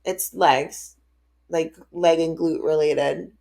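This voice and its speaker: A woman's voice: low voice, steady tone, slow, gravelly, dark tone. A woman in her late thirties co-hosting a podcast, chatting casually in an upbeat way and laughing often. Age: 30s. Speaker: podcast co-host. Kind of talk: casual chat.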